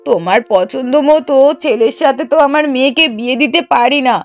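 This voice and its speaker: High-pitched bossy, scheming cartoon mother acted by a woman. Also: lively intonation, a little fast. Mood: bossy, indignant. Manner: bossy, scolding, argumentative. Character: scheming, sharp-tongued middle-aged mother and bossy wife. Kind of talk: Bengali moral-story cartoon dubbing.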